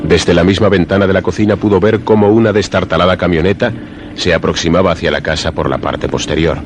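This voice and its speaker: A man narrating a suspense radio drama in a measured, literary storytelling manner. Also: low voice, steady tone, background noise. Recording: prominent music behind the voice. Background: music.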